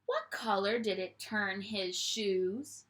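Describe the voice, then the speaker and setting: A young woman teacher reading a picture book aloud to children at home, in an animated storytime voice that invites them to join in. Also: mid-range voice, takes it slowly, slight background noise. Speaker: primary school teacher.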